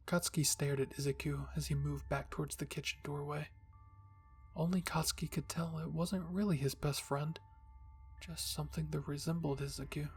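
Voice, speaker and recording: A man reading a spooky fan-fiction story in soft, hushed ASMR narration. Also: a little high, gravelly, slight background noise. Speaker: storyteller, channel host.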